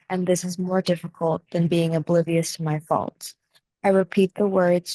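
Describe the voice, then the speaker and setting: Young american woman, lowish voice, slow careful essay voice-over, dry close mic. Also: dry recording, rough recording. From United States. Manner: measured, soft-spoken reading with deliberate pauses. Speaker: video essay narrator. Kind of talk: scripted reflective narration.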